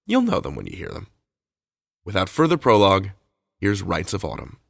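A man introduces things as a podcast host. His voice is creaky and lively in pitch, conversational, recorded close and very dry. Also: moderate pace, dry recording.

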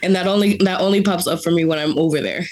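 Bronx girl guesting on a podcast, low-ish voice, cusses a lot and laughs, super blunt. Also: fast, slight background noise. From Bronx, New York. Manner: blunt, profane and animated, laughs often. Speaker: guest, coffee Q grader. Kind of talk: casual chat.

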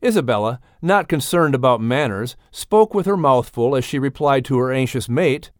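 A man's voice: mid-range voice, expressive, moderate pace, no room sound, studio quality. A man reading a children's story aloud as a scripted audiobook narrator.

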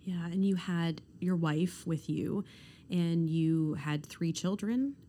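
Soft-spoken woman hosting. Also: on the low side, slow, a little hiss or hum. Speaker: podcast host. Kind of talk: interview hosting, scripted intro.

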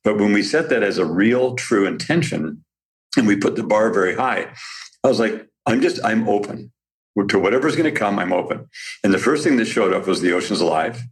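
A man with a deep, low voice talks as a podcast guest. He speaks in a reflective, conversational way and circles back to repeat his key phrases for emphasis.

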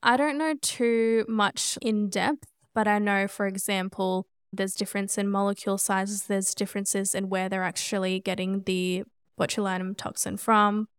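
A woman co-hosting a chatty podcast. Her voice is smooth and clean with a touch of vocal fry, and she explains things casually in a close, dry recording. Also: medium pitch, little pitch movement, moderate pace, no echo. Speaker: podcast co-host and cosmetic injector.

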